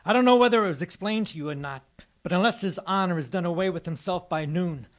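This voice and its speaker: An actor playing a coldly mad escaped convict with a bomb in an old-time radio drama, formal and calmly menacing. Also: male voice, sits high, fast, lightly acted. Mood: calm menace.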